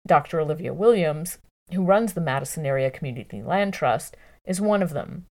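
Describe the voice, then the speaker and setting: A woman narrating a long-form news article from a script in a measured, clearly phrased way. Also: deep voice, lively intonation, dark tone.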